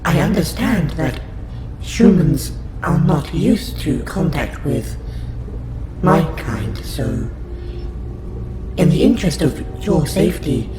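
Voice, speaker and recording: A man voicing a polite, patient alien researcher in an audio roleplay, speaking formally and precisely to a human. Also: fairly high pitch. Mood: calm, reassuring. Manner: formal, precise, courteous, measured. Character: polite, patient male alien scientist abductor interviewing a human. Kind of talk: audio roleplay addressed to the listener. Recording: roomy echo, loud background music bed. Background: music.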